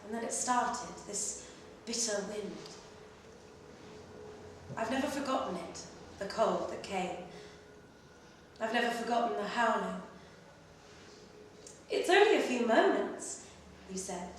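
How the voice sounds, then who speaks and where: A woman performing a literary short story at a live reading, in an expressive, measured way with pauses between phrases. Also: medium pitch. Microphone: distant microphone. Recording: very echoey room, distant mic, faint noise.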